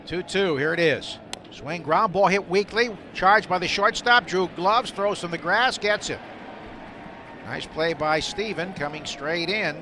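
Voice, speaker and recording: A man's voice: high-pitched, measured pace. A man doing live radio baseball play-by-play in short, clipped, descriptive phrases. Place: outdoor ballpark broadcast booth. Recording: loud stadium noise behind the voice.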